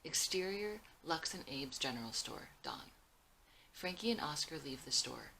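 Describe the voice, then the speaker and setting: A woman with a low, crisp-edged, bright voice narrates a film script. She reads out scene headings and action lines in a scripted style. There is faint noise behind her. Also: very animated, measured pace, low-quality recording. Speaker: screenwriter reading own script.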